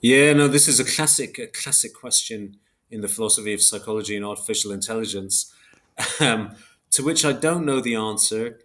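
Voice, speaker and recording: Low-voiced man, hesitant reflective academic. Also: moderate pace, a bit bright. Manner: hesitant, self-correcting, reflective academic explaining. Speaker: interview guest, academic philosopher. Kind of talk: thoughtful interview discussion.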